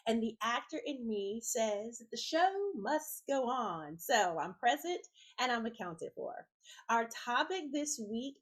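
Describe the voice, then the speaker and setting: A fairly high-voiced woman hosts a self-care talk show while fighting a head cold. Her voice comes through raspy and stuffed up. She speaks to her listeners directly and encouragingly. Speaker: podcast host.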